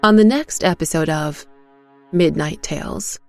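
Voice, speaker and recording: A woman reading a first-person dramatic story in a suspenseful, deliberate way, as a scripted narrator. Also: sits low, gravelly, darkish tone, no room sound. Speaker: narrator and voice actor. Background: faint music.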